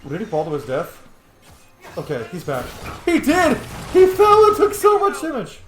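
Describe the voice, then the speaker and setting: A man's voice: extremely high pitch, wildly swooping pitch, moderate pace, a bit bright, faint music behind. A man giving casual, slangy, reactive commentary while playing a video game. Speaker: game streamer. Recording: roomy echo, faint noise.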